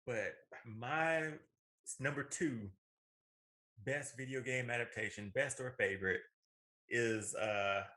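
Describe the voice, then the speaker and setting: A man's voice: medium pitch, slow pace, long pauses, sharp tone. A man co-hosting a casual, opinionated podcast, telling stories in a colloquial, emphatic way. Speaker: podcast co-host. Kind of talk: casual opinionated chat about films.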